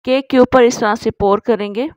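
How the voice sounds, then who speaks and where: A woman's voice: on the high side, steady tone, normal speed, a little mellow, no echo, highs cut off. A woman narrating a recipe tutorial as a voice-over, explaining each step in an instructive way. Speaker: cooking channel host.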